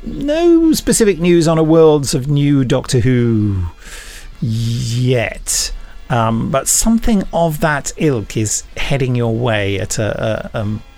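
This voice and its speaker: Creaky-voiced male podcast host. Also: medium pitch, expressive, takes it slowly, darkish tone. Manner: jokey, rambling, self-deprecating. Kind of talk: casual chat and reading out listener emails.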